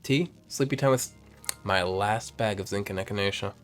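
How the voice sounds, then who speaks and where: A man in his late twenties chatting casually in a vlog, rambling and jumping up in pitch when excited, filmed on a phone at home. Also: normal speed, faint music behind. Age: 20s. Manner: rambling, animated, swings high when excited. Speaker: vlogger. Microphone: phone camera.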